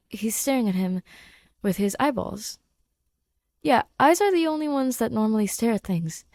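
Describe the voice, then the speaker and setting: A woman narrates scripted fiction with expression and an unhurried pace. Her voice has noticeable creak and sits close and dry on the microphone. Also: mid-range voice, mellow, no room sound. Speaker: podfic narrator.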